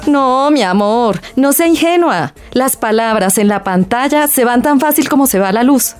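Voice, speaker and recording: A woman's voice: fairly high pitch, expressive, moderate pace, faint music behind, lightly acted. A Colombian woman playing a sceptical, scolding realist in a colloquial scripted radio sketch. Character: sceptical, scolding woman warning against online romance.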